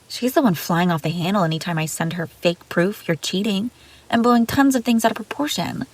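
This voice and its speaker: A woman's voice: medium pitch, a little fast, a little mellow, natural voice. A woman playing an obsessive, scheming young babysitter in an intimate audio roleplay, pleading and defensive. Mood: flustered, defensive. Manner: pleading, defensive, hesitant then insistent. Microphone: close, dry microphone.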